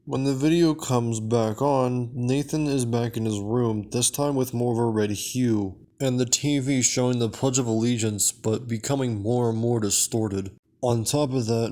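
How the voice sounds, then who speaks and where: A man's voice: mid-range voice, a little mellow. A man narrating a recap and review in a casual, semi-scripted style, as the presenter of a video.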